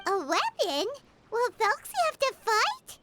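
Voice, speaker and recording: A woman voicing a tiny, cheerful, childlike fairy companion in a video game, squeaky, bubbly and curious. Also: wildly swooping pitch, slow, fairly bright tone, soft background music, heavily acted. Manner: bubbly, refers to self in third person.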